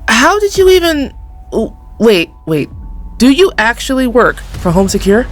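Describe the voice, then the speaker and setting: A woman's voice: a little high, very animated, a little slow, no room sound, faint music behind. A woman voicing a nervous young male gamer in an audio drama, hesitant and stammering. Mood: flustered.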